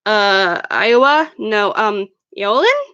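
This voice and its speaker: A woman's voice: medium pitch, animated, takes it slowly, sharp tone, clear, resonant tone, dry recording, lo-fi sound. A woman voicing an awkward, good-natured teenage boy with a hesitant manner in a story reading. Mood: puzzled.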